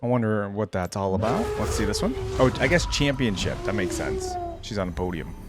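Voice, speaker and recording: A man's voice: fairly low pitch, sing-song, a little fast, loud music behind the voice. A man giving casual reaction commentary on video game character skins, thinking aloud as he goes. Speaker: reaction channel host.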